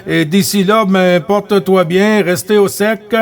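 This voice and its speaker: High-voiced Québécois man on the radio. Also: steady tone, vocal fry. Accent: Québécois French. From Quebec. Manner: unhurried, chatty, frequent hesitations and tag words. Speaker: CB radio net operator. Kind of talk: casual radio chat running a net.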